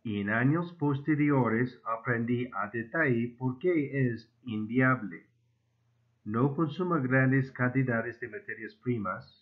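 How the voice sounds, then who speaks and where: A man's voice: mid-range voice, takes it slowly. Non-native man reading through a phone-like line. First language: not Spanish (Spanish is his second language). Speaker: amateur inventor presenting his own proposal. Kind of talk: scripted formal lecture, read aloud. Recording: muffled, phone-like narrow band.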